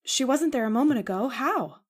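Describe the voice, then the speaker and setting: A woman's voice: high-pitched, animated, brisk. A woman playing an anxious, devoted young woman in an old-time radio horror drama, earnest and melodramatic. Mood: frightened, frantic.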